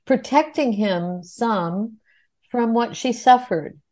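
A woman's voice: a little high, slow, a little mellow. A woman interviewing a filmmaker, speaking in long, articulate, reflective sentences. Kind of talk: thoughtful interview questions.

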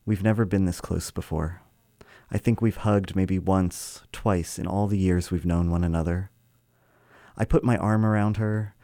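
A man's voice: deep voice, dark tone, dry recording, clean studio sound. A man reading his own literary personal essay as scripted narration.

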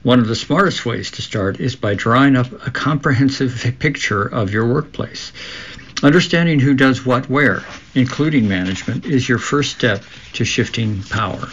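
A man hosting a radio show, reading a scripted text aloud in a measured way with occasional stumbles. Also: mid-range voice, a bit bright, highs cut off, slight background noise.

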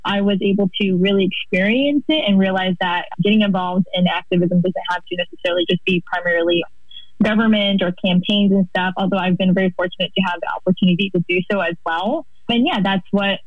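A woman's voice: mid-range voice, a little fast, dry recording. A young woman from California's Central Valley, a student guest who introduces herself earnestly and rambles a little. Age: young adult. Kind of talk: personal introduction on a call-in. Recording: tinny phone line.

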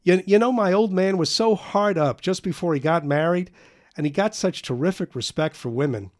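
A man's voice: mid-range voice, animated, a little fast, lightly acted. A man reading an audiobook voices Eddie, a bitter young man who rants crudely and mockingly.

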